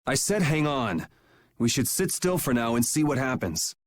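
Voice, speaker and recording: A man's voice: mid-range voice, sing-song, moderate pace, lightly acted. A man voicing a cool, level-headed young swordsman in an anime video game, casual and direct. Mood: cool, measured. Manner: casual, laid-back, direct. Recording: background music behind the voice.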